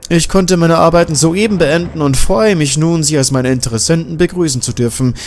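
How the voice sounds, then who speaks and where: A man voicing an ageing, slight male scientist who talks in a courteous, flattering way. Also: fairly high pitch, fairly quick, a little hiss or hum, voice pushed up, close to an everyday voice. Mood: smooth, flattering.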